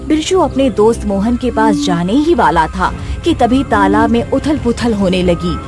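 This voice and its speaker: A woman's voice: on the high side, animated, normal speed, music in the background. A woman reading scripted narration as the storyteller of a tale.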